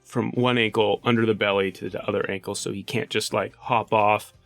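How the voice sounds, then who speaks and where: A man's voice: lowish voice, flat delivery, a little fast, fairly bright tone, dry recording, soft background music. Matter-of-fact man at a game table. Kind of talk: casual game-table talk.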